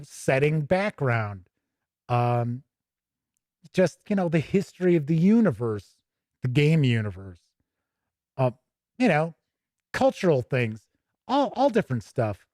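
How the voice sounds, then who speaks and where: A man's voice: fairly high pitch, very animated, slow pace, pauses often, darkish tone, no echo, studio quality. A man hosting a tabletop gaming show, chatting casually with asides as he reads aloud and comments on a livestream.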